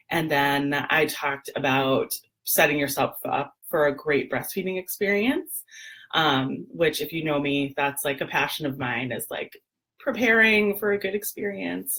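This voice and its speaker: A woman, hoarse and gravelly, chatting casually on a live video with lots of ums and throat clearing. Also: sits low, a bit bright, poor audio. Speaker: doula and lactation educator, livestream host.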